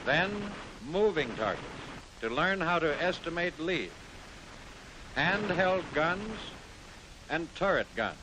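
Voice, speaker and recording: Lively American man narrating 1940s training film. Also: slow. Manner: instructive, addressing the trainee directly. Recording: hissy 1940s film soundtrack, rumbling noise.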